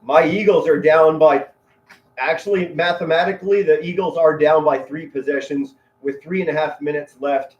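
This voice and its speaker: This is a man with a high voice doing excitable sports play-by-play for a live stream. He talks like a devoted fan, with colorful, dramatic turns of phrase and weighty pauses. The audio is echoey and somewhat dull and lo-fi. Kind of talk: loud, excitable fan commentary mixed with chat.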